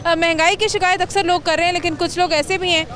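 A woman's voice: very high voice, steady tone, fast talker, a bit bright. A young Pakistani woman working as a TV field reporter, interviewing people on the street into a handheld microphone. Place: crowded outdoor street market. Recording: busy outdoor market crowd noise.

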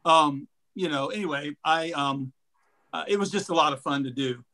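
A man's voice: on the high side, moderate pace, bright, forceful tone. An older man chatting casually and enthusiastically in a rambling way on a video call. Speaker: amateur character actor. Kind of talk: casual chat. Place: indoor room at home. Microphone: computer on a video call.

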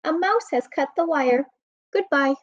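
An American woman, around her thirties or forties, reading a rhyming children's book aloud in an expressive, sing-song way. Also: sits high, a little slow, no echo. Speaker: children's storytime reader. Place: room at home. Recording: dull, muffled webcam audio.

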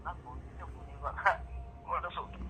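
Fast-talking man on a noisy phone call. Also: a little high. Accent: rural Medinipur Bengali. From West Bengal, India. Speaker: prank call recipient. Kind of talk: casual phone chat. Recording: noisy phone call, clear background noise.

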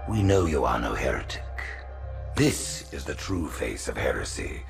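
Very deep, creaky alien prophet. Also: male voice, sing-song, over music, heavily acted. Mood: solemn. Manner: grand, measured, imperious. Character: aged alien high prophet, stately, scheming religious leader. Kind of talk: dramatic game cutscene acting.

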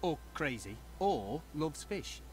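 An actor playing a talkative, hapless fish stall owner in a video game, pitching his wares with a salesman's patter. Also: big pitch swings, slow, slight background noise. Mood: rueful. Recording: game audio with music behind.

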